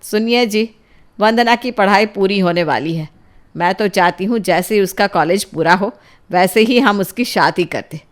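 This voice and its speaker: A woman voicing a strict middle-aged mother in an audio drama, scolding and threatening in a forceful way. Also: mid-range voice, normal speed, close to an everyday voice. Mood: scheming.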